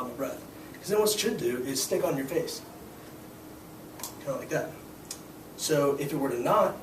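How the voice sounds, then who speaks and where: Young guy teaching scuba, hoarse, kinda high voice, mic far off, echoey noisy room. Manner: casual, explanatory, frequent fillers. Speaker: scuba instructor. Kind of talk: hands-on demonstration to a class.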